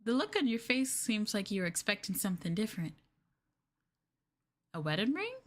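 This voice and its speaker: A woman playing a sweetly possessive, obsessive young girlfriend in a yandere ASMR roleplay, cooing coyly with drawn-out endearments. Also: medium pitch, very animated. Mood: coy, excited.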